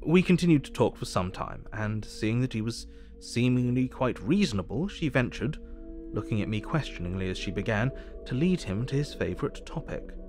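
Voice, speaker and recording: An actor playing an earnest asylum doctor narrating his diary in measured, formal English. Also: medium pitch, big pitch swings, over music, close to an everyday voice. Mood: astonished, reflective.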